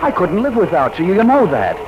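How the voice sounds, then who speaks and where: A man's voice: sits high, wildly swooping pitch, fairly quick. An actor playing a hard-drinking, befuddled young man in a 1940s radio drama, theatrical and emotional. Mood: pleading. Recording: muffled, noisy 1940s radio recording.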